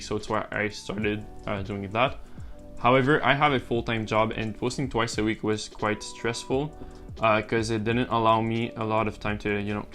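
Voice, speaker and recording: Casting: young man, relaxed vlogger, mid-range voice, faint music underneath. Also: mid-range voice. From French-speaking Canada. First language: French. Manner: relaxed, rambling with many uh and um fillers. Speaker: YouTuber. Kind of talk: casual talking-to-camera vlog.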